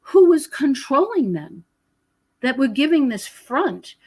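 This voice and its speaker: A woman's voice: high voice, very animated, takes it slowly, darkish tone. An American woman speaking conversationally as a podcast guest, explaining her ideas with emphasis. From United States. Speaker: podcast guest, researcher of prophecy and UFOs.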